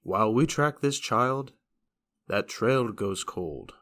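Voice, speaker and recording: Man acting as naive fantasy hero, blunt, earnest, formal wording, animated pitch, audio drama. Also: takes it slowly, exaggerated voice. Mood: sombre, earnest. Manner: formal, literal, stiffly earnest.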